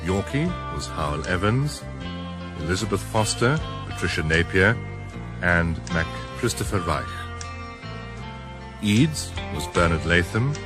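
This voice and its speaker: A male announcer reading radio drama credits in a measured, formal way. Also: low voice, sing-song. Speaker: continuity announcer. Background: music.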